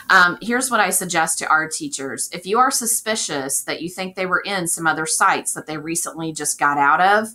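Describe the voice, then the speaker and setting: Hoarse woman giving a teacher training talk. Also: lowish voice, bright, forceful tone. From Indiana, US. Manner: chatty, checks in with "okay?". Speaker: district technology integration specialist. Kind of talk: recorded professional development talk.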